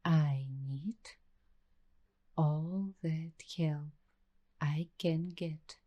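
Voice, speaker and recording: A woman speaks very slowly in a soft, mellow, hushed voice, slipping into whispers. She plays a paranoid, secretive waitress in an ASMR mystery roleplay, weighing each phrase with care. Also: low voice, steady tone, muffled recording. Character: paranoid young waitress investigating a creepy attic mystery. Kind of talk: ASMR roleplay, slow scripted monologue.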